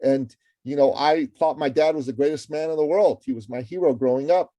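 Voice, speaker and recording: Emphatic, dark-voiced man from Iran. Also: fairly high pitch, brisk, no room sound. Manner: energetic, emphatic, repeats words as he speaks. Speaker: guest, author and entrepreneur. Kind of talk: interview conversation.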